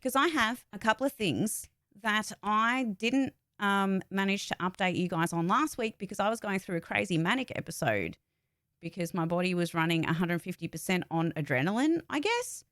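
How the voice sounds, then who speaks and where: A woman's voice: medium pitch, expressive, moderate pace, a bit bright, no echo, studio quality. A woman chatting casually and informally on a livestream, rambling a little as she gives updates. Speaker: voice actor and streamer giving updates.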